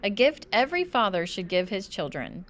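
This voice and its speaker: A woman's voice: medium pitch, big pitch swings, moderate pace. A woman voicing a kindly, encouraging father who is proud and outdoorsy, in a children's storybook. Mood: earnest. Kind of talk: children's storybook read-aloud.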